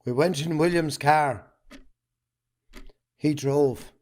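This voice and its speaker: A man with an Irish accent acting a defensive, guilt-ridden farming man in an amateur drama, speaking haltingly. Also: a little high, a little mellow. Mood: halting, remorseful.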